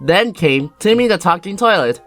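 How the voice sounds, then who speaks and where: A narrator reading a children's storybook aloud in an animated, theatrical way for a fan dub. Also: medium pitch, normal speed. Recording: music behind the voice.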